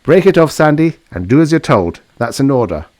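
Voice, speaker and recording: A firm space-navy skipper acted by a man reading a story, giving clipped, authoritative lines. The pitch is lifted and the sound is dry and close. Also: big pitch swings, fairly quick, darkish tone, dry recording, lightly acted. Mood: commanding. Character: firm male warship skipper, commanding, secretly soft-hearted.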